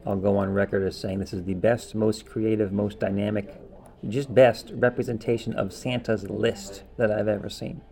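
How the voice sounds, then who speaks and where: A man's voice: lowish voice, level tone, moderate pace, creaky, warm tone. A man co-hosting a podcast, talking in a casual, conversational way. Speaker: podcast co-host. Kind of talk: casual chat.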